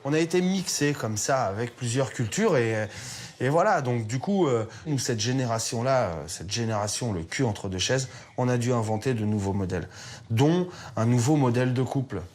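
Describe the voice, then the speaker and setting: A man's voice: medium pitch, animated, moderate pace, a little mellow, a little hiss or hum. A man from France answering interview questions thoughtfully, hesitating and restarting often.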